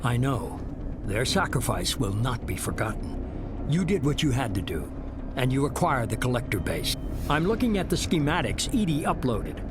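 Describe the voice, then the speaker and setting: A man playing a calculating, ruthless middle-aged mastermind in a video game, persuading with measured authority. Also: medium pitch, very animated, over music, close to an everyday voice. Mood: measured persuasion. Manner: measured, smooth-talking, authoritative.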